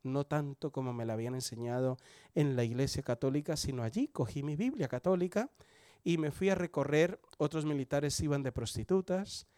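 A man's voice: fairly high pitch, a little fast, mellow, smooth, dry recording, studio quality. A man in his forties from Colombia, with a Colombian accent, giving his testimony at a church service in a conversational way, often asking the listeners questions. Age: 40s. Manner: conversational, asks the audience questions.

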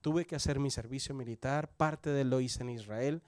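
A Colombian man in his forties shares his testimony at church in a chatty, conversational way. He often turns to his listeners with questions and swings his loudness for emphasis. His voice sits at an ordinary male pitch, recorded dry with no room around it. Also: normal speed, clear, resonant tone, no room sound. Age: 40s. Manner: conversational, asks the audience questions. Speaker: church member giving testimony.

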